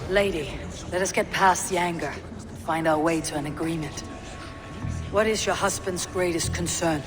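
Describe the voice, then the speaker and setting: An actress playing a steady, diplomatic Viking warrior in a video game, measured and direct. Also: female voice, on the low side, lightly acted. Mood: persuasive. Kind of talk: dramatic video game acting. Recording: long hall reverb, music underneath.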